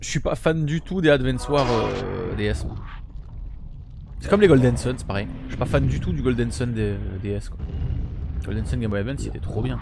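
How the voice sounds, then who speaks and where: A man's voice: medium pitch, lively intonation, moderate pace, gravelly. Chatty gamer man streaming. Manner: chatty, mumbly while eating, bursts of loud frustration. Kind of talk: casual gameplay chat with viewers. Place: home streaming room, indoors. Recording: game sound noise behind voice. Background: game gunfire and sound effects.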